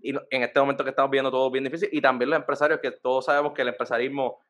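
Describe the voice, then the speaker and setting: A man's voice: medium pitch, level tone, rapid-fire, fairly bright tone. A man from San Juan with a Puerto Rican accent, hosting an interview in a friendly, enthusiastic way. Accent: Puerto Rican Spanish. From San Juan, Puerto Rico. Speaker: interview host.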